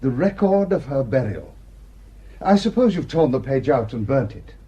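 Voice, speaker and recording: An actor playing a courteous, shrewd village rector in a radio drama, speaking in a polite, measured, probing way. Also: male voice, mid-range voice, big pitch swings, dark tone, breathy edge. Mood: gently accusing.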